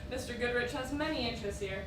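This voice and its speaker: A woman's voice: a little high, fast, lightly acted. A woman playing a haughty, poised society wife who keeps up appearances, in an affected, genteel theatrical style. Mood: gushing, polite. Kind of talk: theatrical stage acting. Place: indoor theatre stage, picked up from afar. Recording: roomy, distant stage recording with noise.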